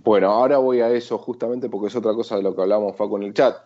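A man with an Argentine accent hosting a casual motorsport chat, talking in a lively, slangy way with quick asides. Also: lowish voice. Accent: Argentine Spanish. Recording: dull lo-fi sound.

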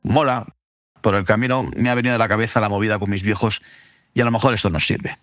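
A man's voice: lowish voice, fairly even intonation, quick pace, fairly bright tone, no room sound, studio quality, faint music behind, close to an everyday voice. A man playing a grumbling but game young punk singer in an audio drama, speaking in casual slang.

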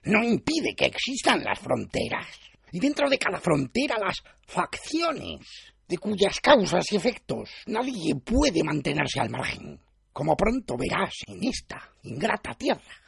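Man putting on a creaky, high-pitched bitter Roman tribune. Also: wildly swooping pitch, measured pace, a bit bright, no room sound, clean studio sound, full character voice. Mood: indignant. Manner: aggrieved, declamatory. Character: bitter, self-important Roman tribune, scheming turncoat.

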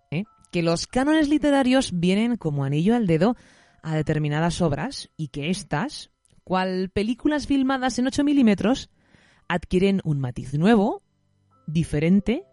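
A woman presenting a literary podcast in an expressive, effusive, semi-scripted way. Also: mid-range voice, normal speed, no room sound, studio quality, faint music behind.

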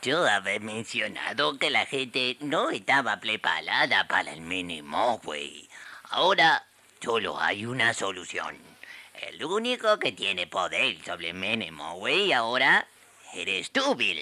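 A grave, scheming adviser , put on by a man, lowish and very sharp-edged. He speaks in a hushed, ominous way with wide swings, in comic radio theatre. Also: sing-song, measured pace, heavily acted. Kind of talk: amateur comic radio play.

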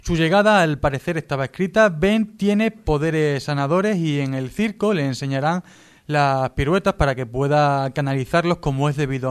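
A man's voice: on the high side, clean tone. A man from Spain with a Spanish accent giving a casual, jokey radio review, partly read out and sometimes stumbling. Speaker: radio show contributor reviewing TV series.

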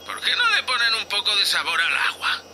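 A man's voice: high-pitched, big pitch swings, a little fast, pushed and bright, a little hiss or hum, full character voice. A man playing a tipsy, cheeky young spaceship co-pilot in an audio drama, giggly and rambling. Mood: tipsy, teasing. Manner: slurred, giggly, rambling drunken talk. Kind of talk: science fiction audio drama acting.

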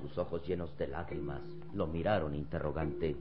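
A man's voice: extremely low pitch, steady tone, moderate pace. A man narrating a radio serial in an emphatic, theatrical style full of suspense. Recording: dull old radio recording with music.

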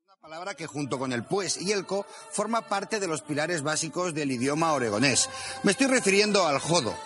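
A man's voice: fairly high pitch, expressive, brisk, fairly bright tone. A man giving a humorous mock-didactic language lesson as a presenter. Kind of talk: humorous mock-lecture narration. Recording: music bed behind voice.

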